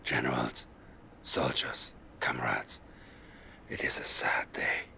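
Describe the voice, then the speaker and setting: A scheming psychic advisor who talks in a deep, low hush. His menace stays quiet and controlled, and his words often fade into a breathy whisper. It sounds like a strategy game cutscene. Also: level tone, slow pace, heavily acted. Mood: sinister hush. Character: sinister middle-aged male psychic advisor, scheming. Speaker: Soviet military advisor. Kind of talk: video game cutscene acting.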